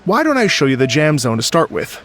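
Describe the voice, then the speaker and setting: Courteous male musician, slightly creaky voice. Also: on the high side, huge pitch swings, a bit bright, soft background music, heavily acted. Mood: wry, friendly. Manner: polite, measured. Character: courteous, modest, earnest male musician hosting a festival. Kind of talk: video game character acting.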